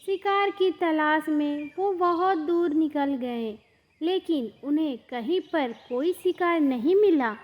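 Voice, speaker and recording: A woman tells a children's story from a script. Her voice is extremely high in pitch, and she takes her time with very slow delivery. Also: big-room sound, lo-fi sound, slight background noise. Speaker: storyteller, narrator.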